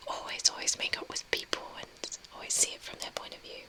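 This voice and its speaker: A young woman whispering softly as she reads and answers a live chat, a little tearful. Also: a little fast. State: tearful, recently crying. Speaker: ASMR streamer. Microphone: webcam mic.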